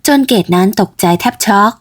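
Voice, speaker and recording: A woman telling a bedtime folk story as scripted narration. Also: brisk.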